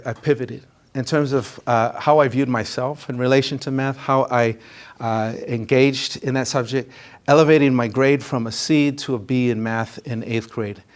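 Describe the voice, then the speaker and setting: Earnest middle-aged counselor telling his story. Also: medium pitch. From Ecuador. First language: Spanish. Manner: earnest storytelling with frequent fillers and restarts. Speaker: college counselor. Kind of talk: personal story in a presentation.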